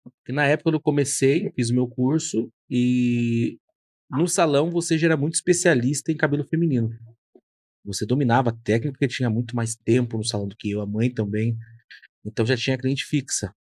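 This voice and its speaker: A man's voice: medium pitch, a little fast, darkish tone, dry recording, studio quality. A man in his thirties, a hairdresser and podcast host, telling his story in an earnest, reflective way. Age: 30s. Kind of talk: casual conversation.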